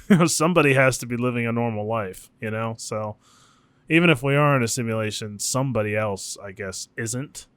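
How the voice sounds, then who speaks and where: A man with a mid-pitched voice chats casually as a podcast co-host. He muses informally and swears offhandedly. The sound is dry and close, with very little room in it. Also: a bit bright, clean tone, dry recording.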